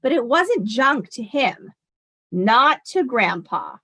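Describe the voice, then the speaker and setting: A woman voicing an elderly grandmother in a storybook, tender and nostalgic, reminiscing with gentle emphasis. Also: a little high, very animated, slow pace, slightly rough, heavily acted. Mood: fond, reminiscing. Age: older.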